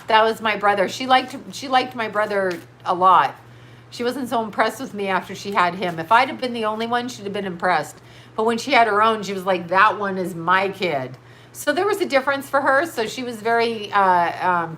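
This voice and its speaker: A woman's voice: medium pitch, bright, forceful tone. A middle-aged woman with a stuffy nose, chatting bluntly and doing a tarot reading for live viewers. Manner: blunt, swears, laughs often, scattered. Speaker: tarot reader answering live chat. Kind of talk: casual live-stream chat and card reading.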